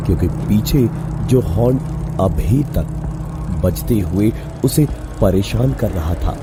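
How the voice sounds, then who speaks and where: A deep-voiced man narrates a horror story with suspense, taking his time over drawn-out phrases. His delivery swings expressively up and down. Music plays under the voice. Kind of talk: scripted dramatic horror narration.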